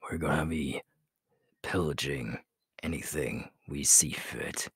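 A man playing a ruthless, menacing young werewolf alpha in an ASMR audio roleplay, gravelly and breathy, slow and boastful, often dropping to a whisper. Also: rumbling low, fairly bright tone, no echo, studio quality, full character voice. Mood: menacing, ambitious.

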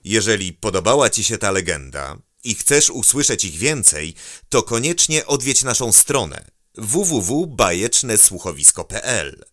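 Male announcer for a kids' storybook, lower pitch, very unhurried, sing-song rhyming lead-in, dry studio sound. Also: sing-song, fairly bright tone, dry recording. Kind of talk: rhymed intro and outro announcing.

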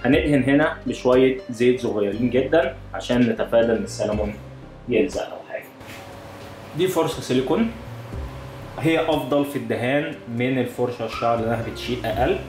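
A man's voice: mid-range voice, fast, echoey room, over music, rough recording. A man with an Egyptian Arabic accent hosting a casual cooking demonstration in a home kitchen, explaining each step as he cooks. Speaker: cooking show host.

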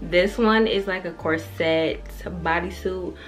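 Slangy young woman, low-pitched, music behind. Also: fairly even intonation, slow. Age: young adult. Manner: slangy, candid, swearing. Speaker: fashion vlogger. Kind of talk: casual chatty try-on haul. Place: carpeted bedroom indoors.

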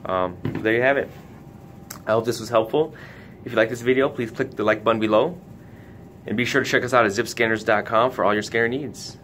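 A man's voice: fairly quick, fairly bright tone. A man hosting a casual hands-on product tutorial, giving careful step-by-step instructions. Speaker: tutorial host for a retailer. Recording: noticeable background noise with low rumble.

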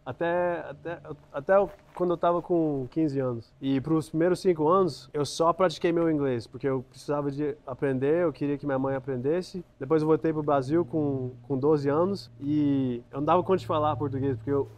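A man's voice: fairly high pitch, a little fast, hardly any pauses, darkish tone. A man from Brazil who grew up in the US, a guest chatting casually and hesitantly, often searching for words outdoors. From Brazil, raised in the US. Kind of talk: casual chat. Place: outdoor driveway, seated on a couch.

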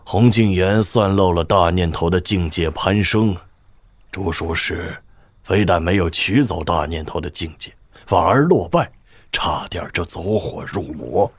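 An actor playing a shrewd old military governor, husky, measured and wry, in a wuxia audio drama. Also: male voice, low voice, no room sound, heavily acted. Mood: grave, wary. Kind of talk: Chinese wuxia radio drama acting.

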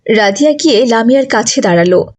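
Female narrator for scripted cartoon storytelling, higher than average pitch. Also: lively intonation, fast, darkish tone, distant mic.

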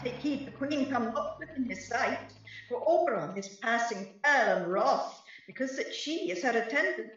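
A woman's voice: a little high, expressive, normal speed, a bit bright. A woman playing a mischievous, boastful merry sprite in a Shakespeare radio play, telling tales in verse with glee. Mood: conspiratorial. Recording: echoey distant dull home recording.

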